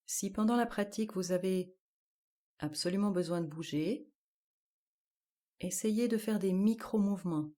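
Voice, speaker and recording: A woman guiding a yoga nidra relaxation, giving calm, measured instructions in short, spaced-out phrases. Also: mid-range voice. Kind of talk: guided relaxation meditation.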